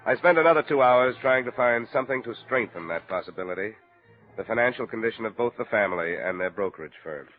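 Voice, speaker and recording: An American actor playing a cool, probing insurance investigator in a 1950s radio detective drama, speaking in measured, clipped lines. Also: male voice, medium pitch, expressive, soft background music, lightly acted. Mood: matter-of-fact narration. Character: male insurance investigator, cool, probing hard-boiled detective narrating his case. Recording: muffled, narrow-band old radio recording.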